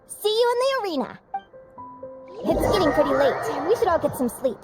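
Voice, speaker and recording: A woman's voice: very high-pitched, big pitch swings, moderate pace. A woman playing an earnest, upbeat young advisor and competitor in a video game, chatty and thoughtful. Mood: friendly, sincere. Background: background music.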